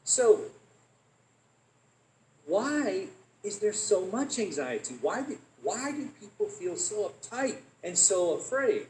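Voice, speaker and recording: Lively man lecturing in an echoey room. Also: sits high, slow pace, a little hiss or hum. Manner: lively, repeats and restarts phrases, asks the audience "okay?". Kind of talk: animated academic lecture.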